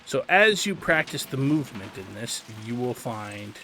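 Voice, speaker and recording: A man's voice: medium pitch, very animated, normal speed, bright, forceful tone. A man giving tutorial commentary over gameplay in an explanatory, conversational way. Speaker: gaming video host. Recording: faint game noise behind.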